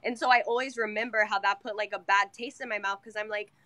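A woman's voice: medium pitch, fairly even intonation, fast talker, pushed and bright. Chatty young woman on a video call. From California. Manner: chatty, quotes herself and clients. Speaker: salon owner guest. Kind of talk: casual interview chat. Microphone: webcam on video call.

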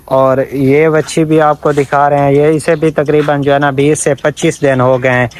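A man presents a sales vlog, often repeating filler phrases, recorded outdoors on a phone. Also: a little high. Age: 20s. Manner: repetitive verbal filler phrases. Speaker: cattle seller and video presenter. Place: open-air farm yard. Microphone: phone at arm's length.

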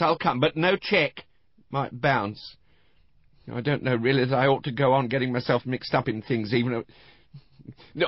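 A man acts out a middle-aged woman from a crime audiobook, high-voiced and flustered. She is out for money but wavers, hesitating and restarting her phrases. The recording is slightly dull. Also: dry recording, natural voice. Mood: grudging assent.

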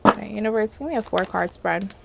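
A woman's voice: mid-range voice, fast, gravelly, heavy background noise. A woman with a Haitian accent giving a casual tarot reading, chatty, often asking "okay?" and calling on the universe as she pulls cards. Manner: chatty, repeats "okay?" and "universe, clarify" while pulling cards. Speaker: tarot reader. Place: indoors at a table covered in card decks.

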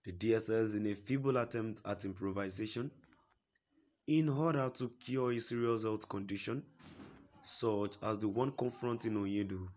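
Male news reader, low-ish voice, steady formal delivery. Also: moderate pace. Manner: steady formal reading, quotes a statement at length. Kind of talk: read-aloud news report.

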